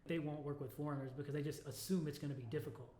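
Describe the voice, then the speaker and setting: A man's voice: on the high side, fairly even intonation, very fast, dark tone. A young American man co-hosting a podcast, chatting casually and informally, often dropping in the word "like". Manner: informal, peppers speech with "like". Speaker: podcast co-host. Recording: echoey room with faint background noise.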